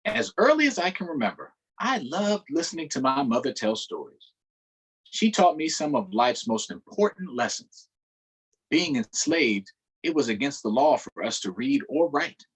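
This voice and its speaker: A man with a slightly husky voice hosts a children's storytime, reading a picture book aloud. His delivery is expressive, with a lively pitch that rises and falls, and he pauses to ask the kids questions. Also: low-quality recording. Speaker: councilmember hosting a virtual storytime.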